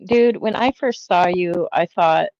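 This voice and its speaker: A woman with a low voice talks bluntly and mockingly, trailing off into fillers as she reacts to clips. The sound is dry and a little dull, like a lo-fi home setup. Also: unhurried, darkish tone, no echo. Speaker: commentary host reacting to clips. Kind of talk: casual reaction commentary.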